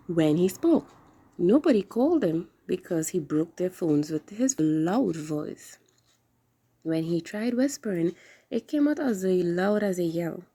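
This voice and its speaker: A woman hosting a children's story time, reading aloud in an animated, expressive way. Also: mid-range voice, gravelly, breathy edge.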